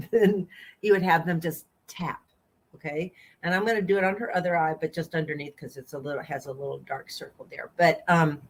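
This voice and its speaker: A woman, talking casually through a makeup demonstration with lots of laughter and gentle instructions. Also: on the low side. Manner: chatty, giggly, gently bossy. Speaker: makeup artist.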